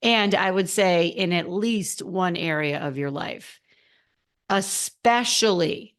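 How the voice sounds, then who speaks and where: Woman podcast host, slightly hoarse voice, lower pitch, chatty and lively, casual solo talk. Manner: chatty, animated, addresses listeners directly. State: a little hoarse.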